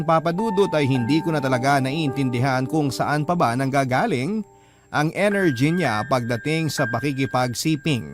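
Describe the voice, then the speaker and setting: A man's voice: mid-range voice, lightly acted. A man voicing a young married husband who earnestly confides his marriage troubles in a letter for a radio drama, with music behind him. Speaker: letter narrator. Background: background music.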